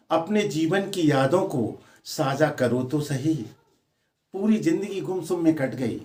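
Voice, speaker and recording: A man's voice: mid-range voice, darkish tone. A man reciting his own poetry in a declamatory manner, repeating lines for emphasis and addressing listeners in spoken asides. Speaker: poet reciting own poem. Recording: roomy, echoing sound.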